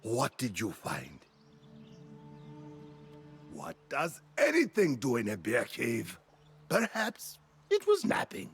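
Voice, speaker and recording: Aged cryptic hermit for a fantasy game: gravelly, halting, haunted, widely swinging pitch. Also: male voice, huge pitch swings, soft background music, exaggerated voice. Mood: haunted, wondering. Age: older. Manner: halting, brooding, rambling. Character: cryptic, haunted old hermit fisherman, muttering riddles. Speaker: video game character. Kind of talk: dramatic game cutscene acting.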